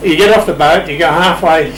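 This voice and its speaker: An older Australian man with a slightly high voice talks conversationally, dry and wry as an interview guest. He is picked up from a distance, with a clear hum in the background. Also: fairly quick. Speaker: interview guest, sailing official. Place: indoor room, radio shack. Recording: roomy, distant mic, steady hum.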